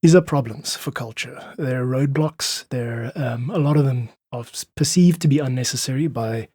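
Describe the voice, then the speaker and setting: Male podcast guest, husky mid-range voice, articulate explainer, dry sound. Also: medium pitch, normal speed, dark tone, dry recording. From South Africa. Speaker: podcast guest, independent musician. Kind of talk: thoughtful interview talk.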